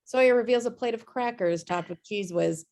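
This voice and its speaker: A woman's voice: on the high side, normal speed, darkish tone. A woman reading scripted stage directions as narrator of a table read over a video call.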